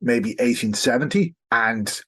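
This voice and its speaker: A man with an Irish accent and a medium-pitched voice speaks as an expert guest. He explains history in a conversational, discursive way, circling back to qualify and refine what he says. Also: expressive, a little slow, fairly bright tone, husky.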